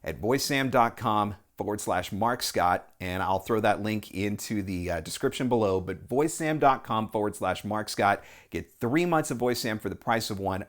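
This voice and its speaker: A man in his forties with a North American accent talks conversationally as a coach hosting a tutorial, stressing key words. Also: lowish voice. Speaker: voiceover coach and video host. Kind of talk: casual tutorial talk to camera. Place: small indoor room at home or office. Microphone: lapel mic.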